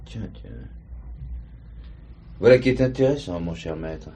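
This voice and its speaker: An actor playing an authoritative police commissioner who questions people in a crime drama. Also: male voice, deep voice, animated, measured pace. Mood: intrigued. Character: probing male police commissioner running an investigation. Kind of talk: French television-style crime drama acting. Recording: faint background noise.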